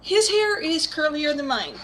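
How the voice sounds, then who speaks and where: A woman's voice: sits high, very animated, a little slow, fairly bright tone, a little hiss or hum. A woman in her fifties with a youthful-sounding voice, chatting casually. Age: 50s. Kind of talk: casual chat.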